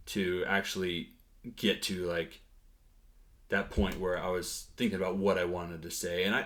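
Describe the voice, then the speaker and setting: A man with a deep, low voice talks through a relaxed vlog update on his language learning. He hesitates often, filling pauses with "um" and "like" and restarting his sentences as he thinks aloud. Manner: hesitant, many fillers and restarts. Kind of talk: casual talking-head update.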